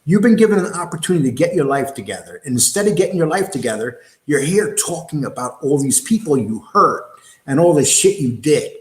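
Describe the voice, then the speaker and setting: A man's voice: on the high side, sing-song, a little fast, reverberant. A middle-aged man giving casual, mocking commentary as a show co-host, sarcastic and prone to swearing. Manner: sarcastic, profane.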